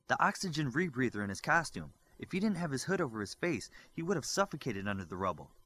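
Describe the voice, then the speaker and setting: A man voicing an observant young superheroine in an audiobook, brisk and explanatory. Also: mid-range voice, sing-song, bright, forceful tone, a little hiss or hum, pitched above the performer's own voice. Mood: explaining.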